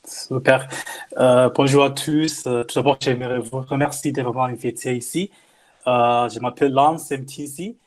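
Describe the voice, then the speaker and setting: A man from Zimbabwe, a native English speaker with a Zimbabwean English accent, giving a personal testimonial in halting non-native speech. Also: medium pitch, fairly even intonation. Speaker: panel guest, former mentee.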